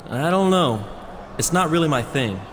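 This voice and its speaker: A no-nonsense, reluctant wrestling authority in a video game. His pitch moves around a lot as he talks skeptically. The sound rings with hall reverb and a little noise. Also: lively intonation, moderate pace, fairly bright tone, some chatter behind. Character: blunt, reluctant male wrestling boss. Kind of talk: video game cutscene acting.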